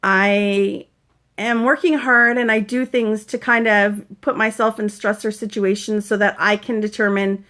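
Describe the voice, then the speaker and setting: A woman's voice: mid-range voice, flat delivery, unhurried. A woman in her forties from Canada, a fitness coach talking casually and earnestly to viewers about recovering from a concussion. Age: 40s. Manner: chatty, earnest, breaks off to greet viewers brightly. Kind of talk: casual live-stream vlog, greeting viewers.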